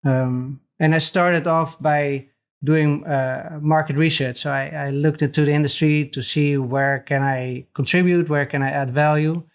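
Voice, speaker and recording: A man from the Netherlands with a Dutch accent, a podcast guest talking casually with frequent hesitant pauses. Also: a little high, fairly even intonation. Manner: hesitant, many pauses and restarts. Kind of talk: casual interview chat.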